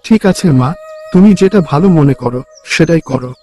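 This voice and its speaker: A man's voice: on the high side, lively intonation, normal speed, dark tone, soft background music, close to an everyday voice. A man voicing a humble, obedient young village son in a cartoon, speaking earnestly. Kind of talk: Bengali cartoon voice acting.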